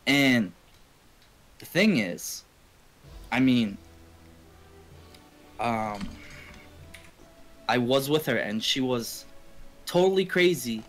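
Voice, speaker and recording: A man's voice: mid-range voice, expressive, very slow, long pauses. Man, mid-range voice, casual streamer storytelling, heavy swearing, repetitive rambling, game music behind. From Canada. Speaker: game livestreamer. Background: video game music and game dialogue.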